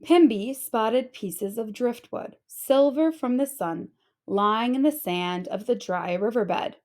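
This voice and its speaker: A woman narrating a children's storybook aloud in an expressive, deliberate storytelling style. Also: fairly high pitch.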